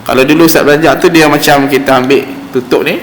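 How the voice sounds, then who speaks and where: A man with a Malaysian Malay accent teaching Quran recitation in an informal, explanatory way, slowly demonstrating sounds as he goes. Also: a little high, fairly even intonation. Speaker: religious teacher giving Quran recitation class. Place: mosque hall. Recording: roomy echoing hall, faint background noise.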